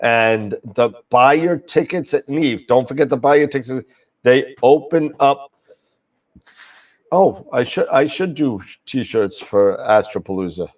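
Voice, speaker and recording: A man's voice: mid-range voice, animated, measured pace, darkish tone, recorded from a distance. A man hosting a live astronomy show, talking casually with many fillers and restarts while getting over a cold. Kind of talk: casual live-stream chat.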